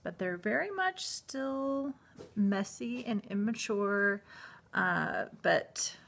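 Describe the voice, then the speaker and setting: A woman's voice: mid-range voice, lively intonation, slow, gravelly, a bit bright. An American woman in her thirties talking casually and a little hesitantly in a personal vlog, recorded on a phone at home. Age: 30s. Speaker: vlogger. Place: indoors at home, living room. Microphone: handheld phone at arm's length.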